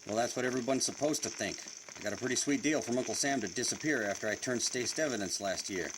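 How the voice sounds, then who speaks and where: A wisecracking, menacing gangster , acted by a man in the manner of 1940s radio crime drama. He talks in tough street slang with a sneer, over a clearly noisy, sizzling recording. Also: medium pitch, fast talker, lightly acted. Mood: cocky. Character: tough, sneering 1940s New York mobster with a gun.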